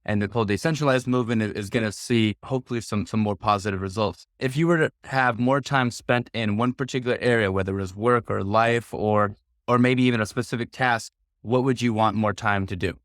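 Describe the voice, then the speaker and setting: A man's voice: on the low side, fairly quick, no echo, clean studio sound. A man hosting a podcast interview, chatting casually with a guest and encouraging them with a lot of short affirmations. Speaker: podcast host.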